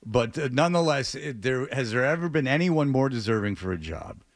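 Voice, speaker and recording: A man hosting a radio talk show, speaking off the cuff in a halting way with stammers and repeated words. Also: mid-range voice, animated, dry recording, clean studio sound. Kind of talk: unscripted radio talk show monologue and interview. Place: radio studio.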